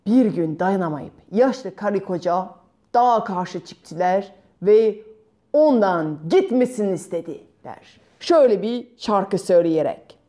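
A woman in her thirties telling a children's tale in an expressive, theatrical way, with drawn-out words and sound effects. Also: mid-range voice. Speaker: storyteller. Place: bright room at home.

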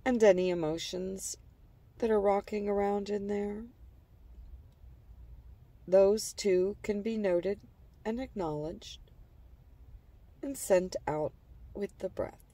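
A woman narrating a guided imagery relaxation in a calm, gentle, soothing manner, letting phrase endings trail softly. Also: medium pitch, takes it slowly, long pauses, no echo, distant mic.

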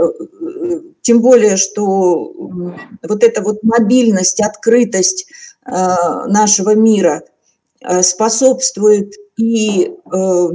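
A middle-aged woman giving a formal, halting online lecture to teachers over a video call. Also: medium pitch, mellow, poor audio. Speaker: teacher trainer giving a webinar. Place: indoor room, on a video call.

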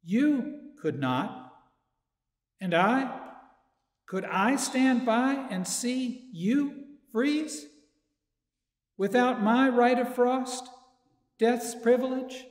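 An older man reading a poem aloud in a church chapel, slow and measured, from a sheet in his hands. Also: extremely high pitch, expressive. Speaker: poetry reader. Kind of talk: poetry reading. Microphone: far camera, stand mic in front. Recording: echoey church with long reverb.

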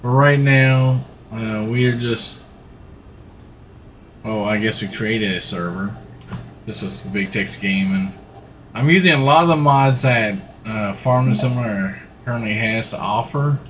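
A man's voice: on the low side, unhurried, slight background noise. A middle-aged man, chatting casually and rambling while he plays a farming game on a livestream from his home setup. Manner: easygoing, rambling, talks while playing. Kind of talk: casual gaming livestream commentary. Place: home room, seated in a gaming seat.

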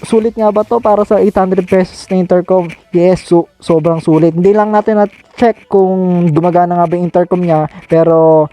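A man chatting casually to viewers in a halting, informal product review. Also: sits high, fairly even intonation, mellow, no echo. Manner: informal, halting, repeats catchphrases to address viewers. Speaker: vlogger reviewing gear.

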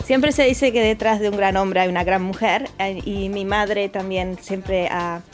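A woman's voice: medium pitch, fairly even intonation, a little slow, faint music behind. A young woman with a Rioplatense accent, a guest telling her story casually in a living room. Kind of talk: casual interview talk.

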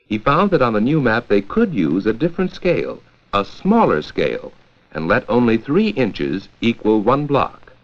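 Lively 1940s American film narrator, male. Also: medium pitch, moderate pace. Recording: dull, lo-fi 1940s 16mm film soundtrack.

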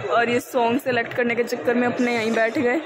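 A woman's voice: fairly high pitch, flat delivery, fairly quick. Playful young woman vlogging in a mall. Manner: teasing, playful. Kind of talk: casual vlog narration. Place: busy indoor-outdoor shopping mall. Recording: loud crowd and mall noise behind.

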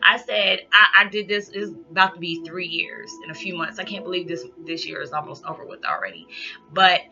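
Animated woman vlogging over a raggedy laptop. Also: on the low side, fairly quick. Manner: animated, loud, rowdy, lots of hand gestures. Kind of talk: casual motivational talk to camera. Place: small living room indoors. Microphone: laptop built-in mic. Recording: dull lo-fi laptop audio with background music.

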